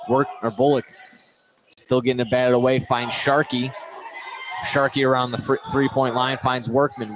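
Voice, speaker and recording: Man calling high school basketball play-by-play, higher-pitched voice. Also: background noise. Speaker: play-by-play commentator. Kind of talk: live high school basketball radio commentary. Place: indoor gymnasium commentary position.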